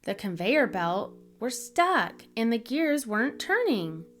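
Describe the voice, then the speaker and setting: A woman reading a children's story aloud in an expressive, deliberate storytelling manner for young listeners. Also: fairly high pitch. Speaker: children's book reader, channel host.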